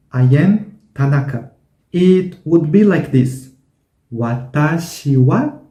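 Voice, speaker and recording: A man teaching a language lesson, saying each word slowly and repeating it several times. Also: on the high side, mellow. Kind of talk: slow, repetitive language lesson. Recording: roomy echo.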